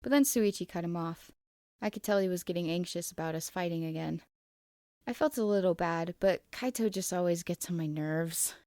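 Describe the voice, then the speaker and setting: A woman reading fan fiction aloud as expressive first-person narration. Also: fairly low pitch, normal speed, no room sound, clean studio sound.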